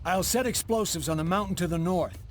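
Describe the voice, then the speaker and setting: A man's voice: sits high, brisk, slight background noise, close to an everyday voice. A man playing a grim, resolute frontier leader, earnest and determined, in a video game cutscene. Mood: grimly determined.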